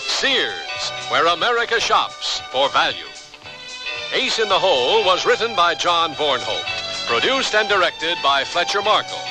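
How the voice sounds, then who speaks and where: A man's voice: a little high, sing-song, measured pace, over music, rough recording. A man announcing a radio theater program in a formal, scripted style.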